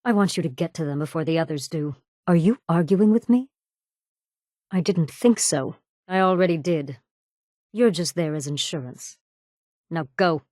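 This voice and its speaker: An actress voicing a ruthless, menacing raider gang leader in a video game, cold, commanding and sardonic. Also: female voice, sits low, animated, normal speed, long pauses, darkish tone, dry recording, clean studio sound. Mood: stern, commanding. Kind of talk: video game character dialogue.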